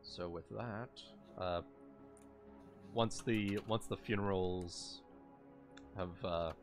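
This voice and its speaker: A man narrating a tabletop game as game master, improvising and often pausing mid-phrase. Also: low voice, steady tone, soft background music.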